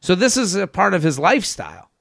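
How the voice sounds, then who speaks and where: Gravelly man chatting on a podcast. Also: sits high, wildly swooping pitch, fast talker, a bit bright, no echo, studio quality. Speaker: podcast host. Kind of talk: casual comedic advice chat, sometimes reading listener emails.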